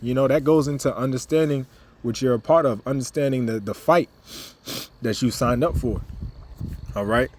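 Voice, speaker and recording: A man with a slightly raspy voice giving a casual religious teaching, rambling and repeating himself, then reading scripture aloud and growing more emphatic. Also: mid-range voice, normal speed, pauses often, slight background noise. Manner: rambling, repeats words, many fillers; grows louder and more emphatic as he goes. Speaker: ministry member giving a teaching video. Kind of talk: informal sermon with scripture reading.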